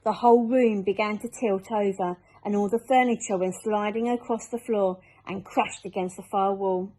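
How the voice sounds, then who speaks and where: A woman's voice: mid-range voice, fairly even intonation, normal speed, mellow, rough recording. A woman narrating a children's storybook aloud, as a teacher reading a chapter.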